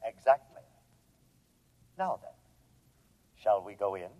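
An actor playing a calm, superior humanoid robot in an old radio drama, with formal, precise, matter-of-fact speech. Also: male voice, on the low side, slow pace, exaggerated voice. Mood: coolly menacing. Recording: dull, lo-fi old radio recording.

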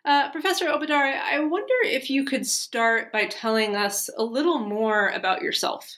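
A woman's voice: on the high side, normal speed, a bit bright. A woman hosting an interview podcast, asking friendly, carefully phrased questions. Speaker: podcast host.

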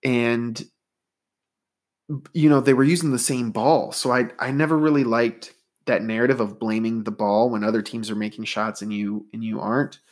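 A man's voice: medium pitch, fairly even intonation, normal speed. A man hosting a solo sports podcast, talking in a rambling, conversational way with a stuffy nose. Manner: conversational, rambling, data-minded. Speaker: podcast host.

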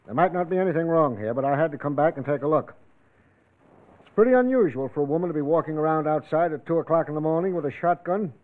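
An actor playing a brisk, suspicious country sheriff, blunt and clipped, in a classic radio crime drama. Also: male voice, fairly high pitch, close to an everyday voice. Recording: muffled, phone-like old radio recording.

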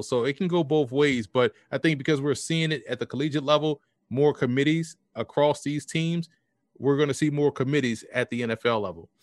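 A man's voice: mid-range voice, dry recording. Dry-voiced man from New Orleans. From New Orleans, Louisiana. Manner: explanatory, restarts phrases often. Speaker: football analyst guest. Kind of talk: sports podcast interview.

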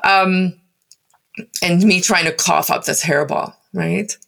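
A South African woman in her forties whose first language is Afrikaans, speaking in English and telling her own story in a thoughtful, halting way with many pauses and fillers. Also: on the low side, steady tone, vocal fry, a bit bright. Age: 40s. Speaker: guest. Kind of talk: reflective personal storytelling.

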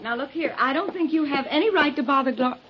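An actress playing a nosy but polite apartment house manager, chatty and inquisitive, in a 1950s radio drama. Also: female voice, sits high, animated, fast, slight background noise. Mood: puzzled, fussy. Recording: dull 1950s radio recording.

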